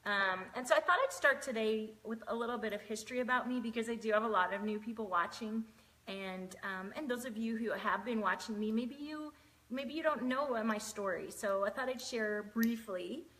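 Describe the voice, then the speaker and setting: A woman's voice: on the high side, moderate pace, pushed and bright, poor audio. A woman, hosting a casual live stream, chatty and greeting viewers by name between many fillers. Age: 40s.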